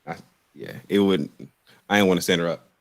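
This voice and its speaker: A man's voice: sits low, fairly quick. A man hosting a live podcast over a video call, talking loosely and repeating himself as he rambles. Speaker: podcast host. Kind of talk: casual live-stream chat.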